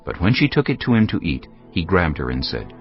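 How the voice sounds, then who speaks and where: Low-pitched man, animated intonation, scripted Bible narration, soft music bed, dull sound. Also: brisk.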